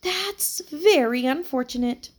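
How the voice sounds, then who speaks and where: A woman voicing a frail, kindly old lady of ninety-two with a feeble, high-pitched, gushing voice. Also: animated. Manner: chirpy, slow and gushing.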